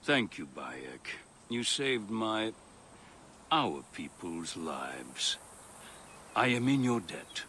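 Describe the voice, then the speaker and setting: An actor playing an earnest, educated Roman architect in a video game, speaking formally and with theatrical eloquence. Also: male voice, fairly low pitch, big pitch swings, extremely slow, pauses often, pushed and bright, a little hiss or hum, heavily acted. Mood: solemn, regretful. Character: earnest, educated Roman male architect, past his youth, conscientious. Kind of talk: video game cutscene dialogue.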